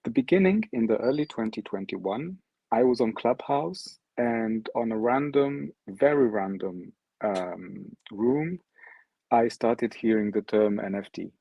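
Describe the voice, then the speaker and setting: Low-voiced man, native Arabic speaker, hesitant. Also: animated, a little slow, vocal fry, husky, dry recording. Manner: hesitant, frequent ums and restarts. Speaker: guest speaker presenting his art project. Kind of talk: online talk with slides. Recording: dry, dull lo-fi audio.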